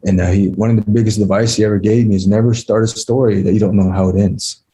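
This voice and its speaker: A man giving informal, heartfelt remarks as a guest speaker, reflective and earnest, with halting phrasing. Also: lowish voice, fairly even intonation, dark tone. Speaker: guest speaker, screenwriter and mentor.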